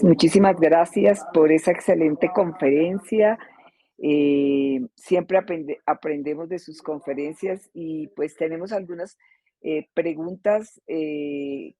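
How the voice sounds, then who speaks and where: A woman's voice: low-pitched, warm tone, dry recording, highs cut off. Courteous woman moderating a webinar. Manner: courteous, with frequent "eh" fillers.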